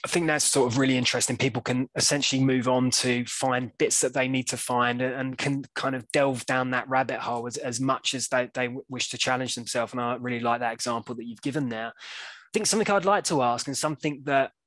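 A man from Wales with an English accent hosts a webinar over a video call. The sound is dry and close, and his pitch stays fairly even. He asks long, earnest questions full of restarts. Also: fairly quick, no echo. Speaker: webinar host. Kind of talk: hosting a webinar interview.

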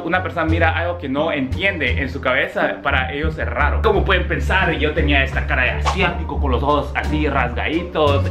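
Fast young man, Guatemalan accent, roomy sound. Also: fairly high pitch. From Guatemala, of Korean parents. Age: young adult. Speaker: vlogger. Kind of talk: casual storytelling to camera. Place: small room indoors. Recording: roomy indoor echo. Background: music.

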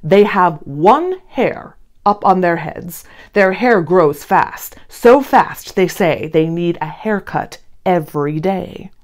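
A woman, around her thirties, talking and reading to young children in an expressive, emphatic way. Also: on the low side, slow. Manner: animated, emphatic, addressing children directly. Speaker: children's read-along host. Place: indoor room, seated before a plain blue wall.

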